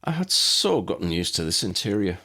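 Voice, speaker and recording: A friendly young Irish wizard as acted out by a male narrator chats in a lilting accent for a storybook. The voice is husky and swings up and down with great animation. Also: deep voice, big pitch swings, normal speed, bright, forceful tone, full character voice. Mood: wistful.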